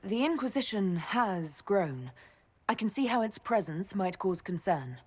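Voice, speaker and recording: An actress playing a tactful, steady leader of a military order in a fantasy game, polite and measured. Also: female voice, mid-range voice, husky. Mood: diplomatic. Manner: polite, even-tempered, measured.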